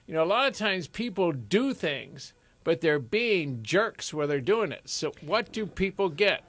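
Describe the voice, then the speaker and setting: Loud forceful middle-aged male radio host. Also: on the high side, big pitch swings, unhurried, dry recording. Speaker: radio talk show host. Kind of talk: radio talk show monologue. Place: radio studio.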